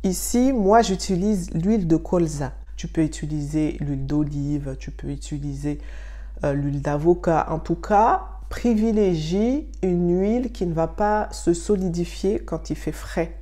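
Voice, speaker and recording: A woman's voice: lowish voice, warm tone. A young woman from Mayotte hosting a casual home tutorial, speaking straight to the viewer in a friendly way with frequent hesitations. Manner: friendly, addresses viewer directly, frequent "euh" pauses. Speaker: wellness channel host. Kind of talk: casual tutorial talking to camera.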